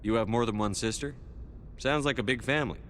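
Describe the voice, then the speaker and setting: A man playing a steady, courteous military commander in a video game, speaking in a calm, measured, inquisitive way. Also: mid-range voice, a little hiss or hum, lightly acted. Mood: curious, friendly. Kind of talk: video game dialogue acting.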